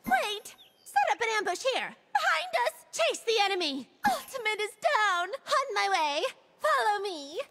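Young playful goose gorgon, game character, very high squeaky pitch, swooping intonation, cheery, punning. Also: female voice, background noise, full character voice. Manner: punning, sing-song, exaggeratedly cheery. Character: cutesy playful female goose-themed gorgon, young and perky. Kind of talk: video game character voice lines.

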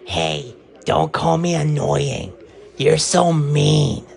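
A man voicing a hot-tempered, clingy young male frog in a homemade skit, whiny and quick to threaten. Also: on the high side, big pitch swings, vocal fry, darkish tone, soft background music, exaggerated voice. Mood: angry.

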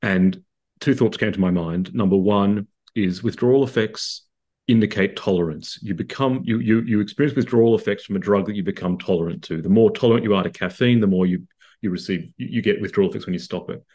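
A man's voice: fairly low pitch, a little fast, mellow. A man in his thirties with an Australian accent, a psychiatrist answering interview questions over a video call, articulate and explanatory with frequent restarts. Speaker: psychiatrist guest. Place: home room indoors.

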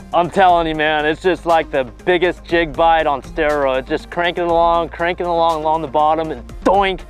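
A man's voice: on the high side, steady tone, measured pace, soft background music. A man in his thirties giving a casual fishing tutorial on a boat outdoors, talking with easy enthusiasm and plenty of "you know" fillers. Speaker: pro bass angler.

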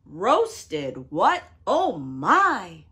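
A woman voicing a sly, hungry male fox in a read-aloud picture book, speaking low and coaxing. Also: very animated, takes it slowly. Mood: alarmed.

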